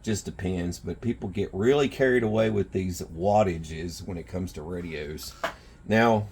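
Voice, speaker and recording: A man hosting a gear video, talking to viewers in an informal, rambling, folksy way with a rough, husky voice. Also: low voice, unhurried, a little mellow, slight background noise. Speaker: survival gear video host. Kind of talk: casual product showcase talk to viewers. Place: indoors in a house.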